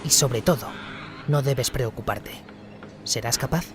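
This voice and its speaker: A man playing a gentle, reassuring elven healer in a fantasy radio drama, speaking calmly and earnestly. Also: mid-range voice, a little slow, background noise, lightly acted. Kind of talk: Spanish-language fantasy radio drama acting. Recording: voice over a music bed.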